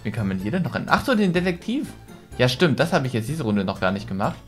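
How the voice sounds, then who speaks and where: Animated young man commentating a video game. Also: medium pitch, fast. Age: young adult. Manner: chatty, thinking aloud, expressive exclamations. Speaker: gaming YouTuber, host. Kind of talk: casual let's play commentary. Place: small decorated gaming room, indoors. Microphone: headset. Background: game music.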